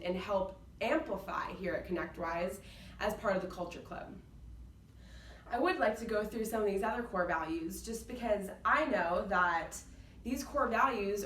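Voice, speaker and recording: A woman giving an earnest, enthusiastic unscripted pitch about workplace values, with a loud voice. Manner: enthusiastic, earnest, says she has a loud voice. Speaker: employee applying to a company culture club. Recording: roomy, slightly distant mic with faint background noise.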